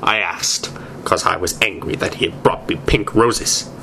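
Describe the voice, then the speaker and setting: A man's voice: low voice, measured pace, gravelly, bright, forceful tone. A man reading fan fiction aloud in a theatrical amateur style, stumbling over words now and then. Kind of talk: dramatic amateur story reading. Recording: noisy amateur recording.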